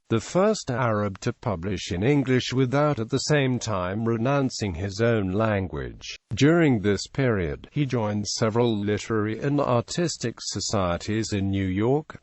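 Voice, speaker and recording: Man, medium pitch, reads encyclopedia text in an even, steady way, recorded close and dry with no room sound. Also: no room sound. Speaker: narrator. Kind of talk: encyclopedia article read aloud.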